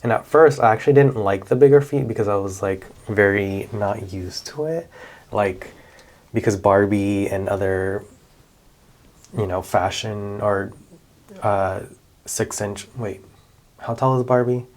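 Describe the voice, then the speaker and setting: Man, lowish voice, slow unhurried pace, casual vlog chat, lots of ums. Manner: relaxed and chatty, with many fillers and self-corrections. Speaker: doll collecting vlogger. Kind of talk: casual show-and-tell vlog.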